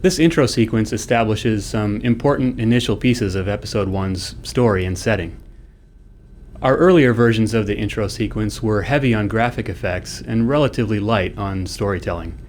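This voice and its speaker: A man's voice: lowish voice, moderate pace, darkish tone, a little hiss or hum. A man who develops games, giving a scripted commentary on how the work was done. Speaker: game developer.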